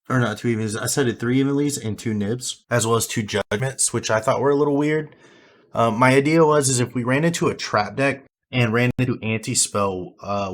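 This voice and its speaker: A hoarse young man, having lost his voice, casually reviewing his card game deck with rambling fillers and restarts. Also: mid-range voice, normal speed.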